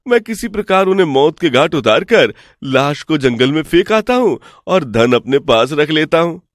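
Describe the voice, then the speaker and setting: Man playing an excitable, gullible village priest. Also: a little high, moderate pace, dry recording, studio quality. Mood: eager, confiding. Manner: excitable, credulous, questioning. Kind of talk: animated Hindi folk tale voice acting.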